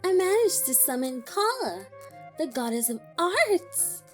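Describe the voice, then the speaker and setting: A woman playing a young traveling bard in a fantasy audio drama, earnest, expressive and easily exasperated. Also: very high voice, unhurried, faint music behind, full character voice. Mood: reflective. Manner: expressive, indignant when provoked.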